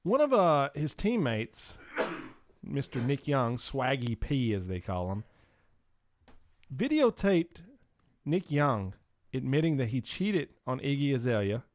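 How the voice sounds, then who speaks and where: A man's voice: medium pitch, big pitch swings, takes it slowly, long pauses. A man hosting a casual talk show, speaking informally and opinionatedly, with swearing and plenty of slangy asides. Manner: informal, swearing, opinionated, uses "dude" often. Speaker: podcast host.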